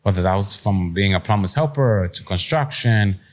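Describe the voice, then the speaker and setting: A man's voice: on the low side, animated, normal speed. A middle-aged man from Puerto Rico talking candidly as an interview guest, plain-spoken, streetwise and reflective. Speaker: podcast guest, entrepreneur. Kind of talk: candid conversational interview, personal storytelling.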